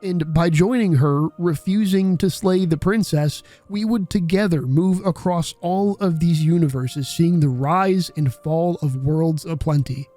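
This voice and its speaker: A young man in his twenties narrates a video essay in an earnest, contemplative voice-over. His voice sits a little high for a man, with a mellow, dark tone. Faint music plays underneath. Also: a little slow, hardly any pauses. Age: 20s. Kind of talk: reflective scripted narration.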